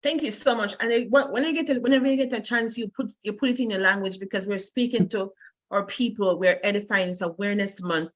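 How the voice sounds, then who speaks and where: A woman's voice: mid-range voice, quick pace. A woman hosting a faith-based interview show, talking fervently and repetitively to exhort her viewers. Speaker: host.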